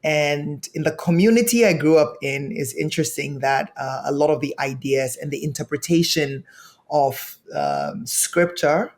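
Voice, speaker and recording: A young man from Ghana, a podcast guest, telling his life story in an earnest, measured way. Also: a little high, sing-song.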